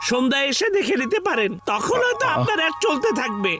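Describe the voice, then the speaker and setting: A clever, bossy cartoon fellow with a very high, lively voice. The delivery is exaggerated and brisk, with music behind it. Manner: animated, exaggerated. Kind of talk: Bengali cartoon dub.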